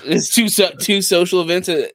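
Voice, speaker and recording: A man's voice: high-pitched, vocal fry, fairly bright tone, dry recording. Casual podcast guy, close dry sound. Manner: slangy, jokey, quick to laugh. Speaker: podcast host.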